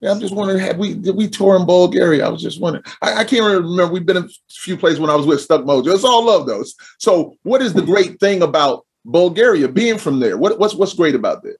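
A man's voice: sits high, animated, quick pace, few pauses, husky. A man hosting an interview show who talks with energy and repeats his phrases for emphasis. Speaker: podcast host. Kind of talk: hyped-up show introduction and casual interview.

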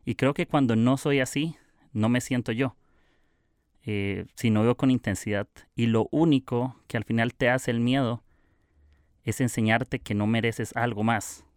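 A man's voice: medium pitch, steady tone, unhurried, no room sound, studio quality. A young man with a Costa Rican accent hosting his own podcast, talking in a casual, reflective and confessional way. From Costa Rica. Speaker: podcast host.

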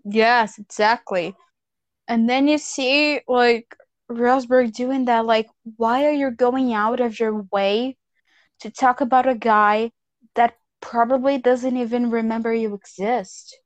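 A woman's voice: fairly high pitch, steady tone, slow pace, no room sound. A woman ranting bluntly and profanely in a casual chat as a podcast co-host.

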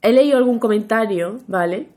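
A woman's voice: on the high side, moderate pace, warm tone. A young woman co-hosting a casual podcast, playful and teasing, often breaking into giggles. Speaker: podcast co-host.

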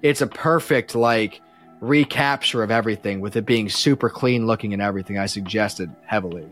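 A man's voice: on the low side, brisk, fairly bright tone, soft background music. A man speaking casually as a podcast guest, giving enthusiastic, opinionated explanations that tend to ramble.

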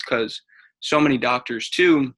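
A man in his mid twenties, an athletic trainer, chatting casually on a podcast in an even, level way. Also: mid-range voice, unhurried. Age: mid 20s.

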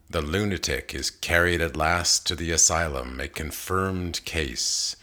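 A man reading poetry aloud in a measured, deliberate manner, pausing between phrases. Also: deep voice, pushed and bright. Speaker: poetry reader. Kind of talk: literary poetry reading, scripted recitation.

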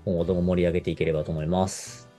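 A man's voice: low voice, flat delivery, fast, dark tone. A man of about forty from Japan, co-hosting a radio show and explaining things in casual conversation. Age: around 40. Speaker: radio show co-host, marketer. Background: background music.

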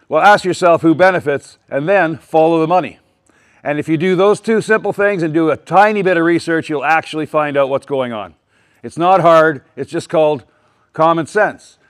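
A man addressing a live town hall audience with a loud, emphatic voice, rambling and often restarting his sentences. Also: fairly high pitch. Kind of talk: public speech to a live audience. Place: public meeting with a live audience.

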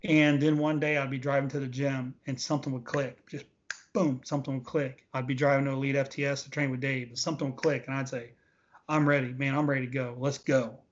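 A man's voice: mid-range voice, level tone, brisk. A man in his late forties giving casual, emphatic interview answers, repeating points for stress. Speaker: interview guest, veteran bodybuilder. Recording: dull, lo-fi audio.

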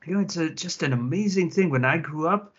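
A man's voice: fairly high pitch, brisk, husky. A man on an online panel telling a self-mocking anecdote in a casual, wry way. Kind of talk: casual anecdote on a video call. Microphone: video call. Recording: muffled video-call audio.

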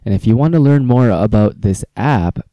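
A man's voice: on the low side, expressive, moderate pace, no room sound, muffled recording. A man hosting a podcast, introducing his guest and chatting loosely with restarts. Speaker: podcast host. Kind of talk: podcast interview.

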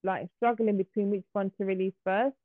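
A woman's voice: medium pitch, fairly even intonation, a little fast, no echo. British-accented woman over a tinny call line. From Uganda. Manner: candid, laughs easily. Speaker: musician guest. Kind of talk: casual interview chat. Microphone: video call. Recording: tinny phone-like call audio.

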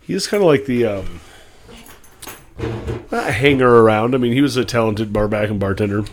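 A man in his thirties co-hosting a casual podcast chat, rambling and halting as he tells stories. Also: lowish voice, lively intonation, a little hiss or hum. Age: 30s. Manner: rambling, halting, full of fillers and restarts. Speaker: podcast co-host.

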